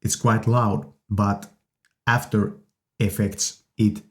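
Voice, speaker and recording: A man gives an informal gear demonstration in a lowish voice. His delivery is slow and halting, arriving in short pieces as he presents each feature. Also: level tone, heavy background noise. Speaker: presenter reviewing an audio interface.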